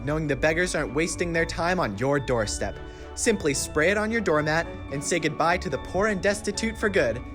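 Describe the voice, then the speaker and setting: High-pitched infomercial pitchman played by a man. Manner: earnest sales pitch. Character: upbeat, callous infomercial pitchman. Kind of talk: parody radio commercial.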